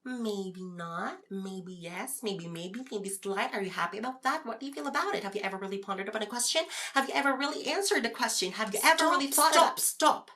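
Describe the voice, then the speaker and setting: A woman performing a scripted, conversational debate for an audio drama podcast, with an expressive, theatrical delivery. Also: mid-range voice, fast, few pauses. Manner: theatrical, expressive, asks rhetorical questions. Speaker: podcast host performing both sides of a scripted dialogue.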